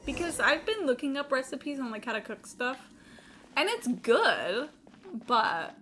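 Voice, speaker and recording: A woman's voice: fairly high pitch, fairly bright tone, slight background noise. A young woman chatting casually on a gaming livestream, informal, rambling and sweary. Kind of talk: casual gaming stream chat. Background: game music.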